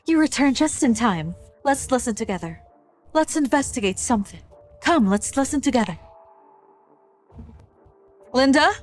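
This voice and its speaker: A commanding mother-in-law of a noble house in a period drama. Her voice sits on the high side, with a haughty, scolding delivery. Soft music runs underneath. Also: expressive, moderate pace, long pauses. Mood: cool, commanding. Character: imperious noble mother-in-law, a scolding, sharp-tongued matriarch. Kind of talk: dubbed period drama acting.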